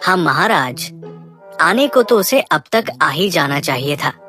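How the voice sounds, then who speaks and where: A woman's voice: low voice, animated, measured pace, bright, forceful tone, faint music behind, heavily acted. A woman voicing a respectful, obliging male boar who serves as minister to a jungle king in a cartoon. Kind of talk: Hindi cartoon moral story acting.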